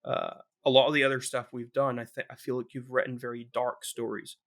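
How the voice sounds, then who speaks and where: A man chatting casually on a podcast at a middle pitch. He rambles along with frequent restarts and trailing thoughts. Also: little pitch movement, brisk, a bit bright. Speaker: podcast co-host.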